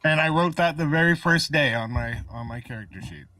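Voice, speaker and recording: A man's voice: a little high, a little fast, pushed and bright, no room sound, distant mic. A man chatting casually as a player in a tabletop roleplaying game.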